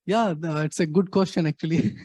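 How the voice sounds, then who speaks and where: A middle-aged Indian man with a fairly high voice speaks in halting phrases, leaning on "uh" and "actually". His accent is clear and he answers questions at a measured pace. The sound is dry and close. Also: dark tone, no room sound. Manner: halting, many fillers, frequent "actually". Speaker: guest speaker, activist.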